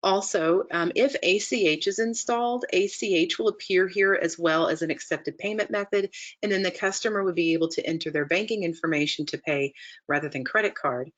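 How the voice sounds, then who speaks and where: Low-pitched woman giving a software walkthrough. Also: brisk, highs cut off. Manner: methodical, explanatory. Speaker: software demonstrator. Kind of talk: instructional screen-share walkthrough narration.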